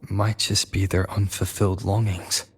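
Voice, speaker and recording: A man's voice: deep voice, flat delivery, moderate pace, breathy edge, full character voice. An actor playing a thoughtful young male traveler in a video game, phrasing things a little tentatively. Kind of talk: video game cutscene voice acting.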